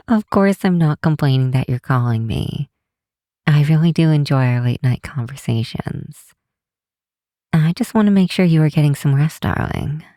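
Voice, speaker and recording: A woman's voice: deep voice, a little mellow, dry recording, clean studio sound. A woman voicing an affectionate, bookish vampire researcher in an ASMR roleplay, talking tenderly and teasingly with small hesitations. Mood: fond, amused. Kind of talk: ASMR roleplay, one side of a late-night phone call.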